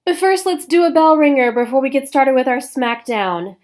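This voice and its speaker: A woman with a stuffy nose from a cold, giving a recorded professional development talk for teachers with clear, paced explanation and frequent "um"s. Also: sits high, expressive, moderate pace, a little mellow. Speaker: instructional coach.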